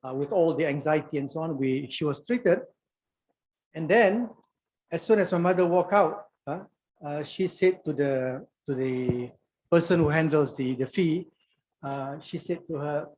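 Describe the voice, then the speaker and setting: A man's voice: on the high side, measured pace, darkish tone, slight background noise, poor audio. Malaysian guy in his 60s, doctor telling stories at a conference, lots of restarts. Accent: Malaysian English. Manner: storytelling, frequent word repeats and restarts. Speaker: doctor and alumni conference speaker. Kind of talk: anecdotal conference talk.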